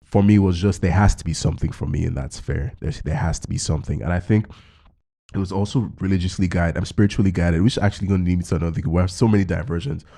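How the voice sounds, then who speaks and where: Deep gravelly man musing aloud. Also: fairly even intonation, quick pace, warm tone. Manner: musing, loose, peppered with fillers. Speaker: podcast host. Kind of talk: reflective conversational chat.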